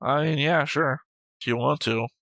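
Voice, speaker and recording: Bright-voiced man bantering dryly. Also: a little high, normal speed, gravelly, no echo. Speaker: co-host of an anime reaction show. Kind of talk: casual unscripted banter and commentary.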